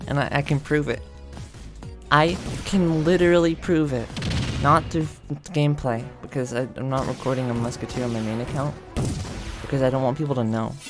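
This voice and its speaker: Brief: a man with a gravelly, fry-heavy voice doing a mock-earnest, halting confession over faint music. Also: mid-range voice. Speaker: gaming video creator. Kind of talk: mock confession comedy monologue.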